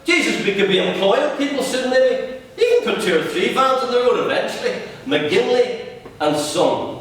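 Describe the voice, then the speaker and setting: A man's voice: medium pitch, very animated, moderate pace, bright, forceful tone. A man playing a bitter, bullying father with harsh barks, heard from a distance in a very echoey room. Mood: coaxing. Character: bitter, raging working-class father. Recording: very echoey room, distant mic, faint hum.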